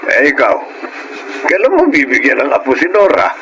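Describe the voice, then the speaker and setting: A man's voice: on the high side, wildly swooping pitch, normal speed, fairly bright tone, slight background noise, heavily acted. Teasing elderly father. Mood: teasing, cheerful. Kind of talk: Filipino radio drama acting. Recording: radio drama over music.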